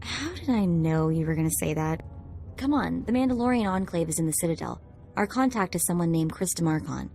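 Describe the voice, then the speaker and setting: An actress playing a young, spirited woman sidekick with a quick, wry way of talking, over game music. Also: on the low side, expressive, warm tone. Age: young adult. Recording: music behind.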